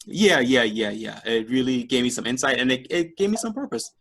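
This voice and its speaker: Casual male podcast co-host, mid-pitched, reflective and easygoing. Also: fairly quick. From Bahamas. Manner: relaxed, thoughtful, many fillers like "you know". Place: New York area, remote call.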